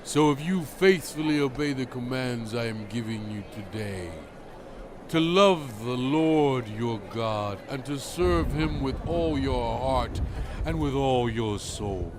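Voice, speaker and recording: A slow, solemn prophet. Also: male voice, mid-range voice, animated, noisy background. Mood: earnest, exhorting. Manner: solemn, measured, emphatic, exhorting. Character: solemn commanding male biblical prophet addressing his people. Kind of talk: dramatized scripture reading, oratorical.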